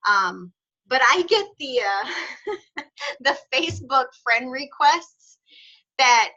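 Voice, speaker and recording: A woman's voice: high voice, big pitch swings, slow, bright, forceful tone, muffled recording. A woman from North Texas, hosting a casual group video call, chatty and laughing often as she explains. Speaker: host of membership call.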